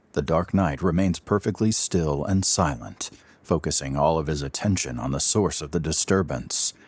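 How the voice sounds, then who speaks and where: A man narrating an audio drama in a theatrical, deliberate storytelling manner. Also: rumbling low, husky, no room sound.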